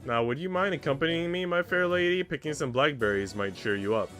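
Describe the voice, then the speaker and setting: A man's voice: fairly high pitch, animated, fairly quick, a bit bright, pitched above the performer's own voice. A man voicing a teasing, affectionate maid from a visual novel, with playful, old-fashioned courtly phrasing. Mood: courtly, coaxing. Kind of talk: visual novel character read aloud. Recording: music playing behind the voice.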